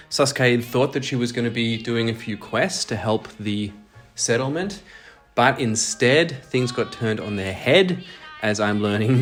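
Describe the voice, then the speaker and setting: A man hosting and narrating a solo role-playing audio drama, moving between scripted storytelling and casual commentary. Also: mid-range voice.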